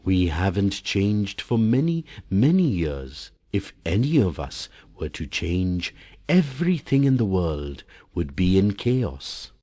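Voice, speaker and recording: Male reader doing a calm sun figure, low slow gentle tone. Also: no echo, full character voice. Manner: gentle, reasoning.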